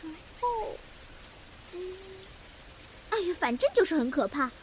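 A woman's voice: high-pitched, sing-song, measured pace, slight background noise. A woman playing a scatterbrained, gossipy young servant girl in a costume radio drama. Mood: flustered, gossipy.

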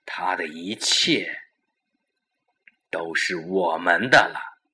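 Audio drama villain, male performer: excited murder plotter, emphatic and commanding, intensely bright, tense delivery. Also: sits low, takes it slowly, exaggerated voice. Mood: excited, scheming.

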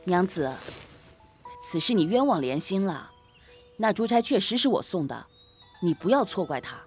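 A woman's voice: mid-range voice, very animated, moderate pace, a little mellow. Casting: female actor for petulant young master and husband, period audio drama, self-righteous, widely swinging intonation, music bed. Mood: soothing. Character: exasperated young gentleman husband of an old Chinese household.

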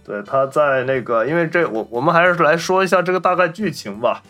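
A man's voice: fairly high pitch, animated, a little fast, fairly bright tone, soft background music. A man hosting a casual film discussion, talking in an informed, explanatory way. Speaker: podcast host.

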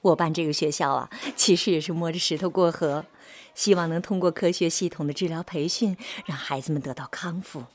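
A woman's voice: low voice, fairly quick, a little mellow. An actress playing a devoted, long-suffering middle-aged mother in a radio drama, with a tender, emotive, pleading delivery. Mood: gentle, earnest.

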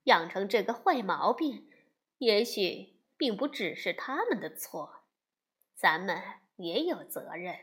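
A woman voicing a sensible mother bear who speaks in a measured, firm way. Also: fairly high pitch, very animated, a bit bright. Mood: calm.

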